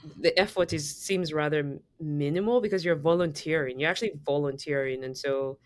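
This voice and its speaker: A woman from Zambia tells a long story in a low, deep voice, full of "like" and false starts. The sound is dry and close, with no room around it. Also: fairly quick, dry recording. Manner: chatty storytelling, many fillers and restarts. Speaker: podcast co-host. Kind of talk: casual podcast conversation.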